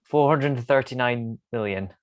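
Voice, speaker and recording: A man's voice: medium pitch, normal speed, no room sound, muffled recording. A man talking casually as a panelist on a podcast. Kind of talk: casual chat.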